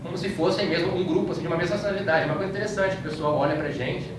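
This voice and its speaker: A man's voice: high-pitched, very fast. A man from Brazil with a Brazilian Portuguese accent gives an informal slide-show talk in a conversational, anecdotal way, often adding little checking tags. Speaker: speaker giving a talk about his trip. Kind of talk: informal slide-show lecture, recounting travels. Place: indoor room, live talk to an audience. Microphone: far from the speaker. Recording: echoey room, distant mic, clear background noise.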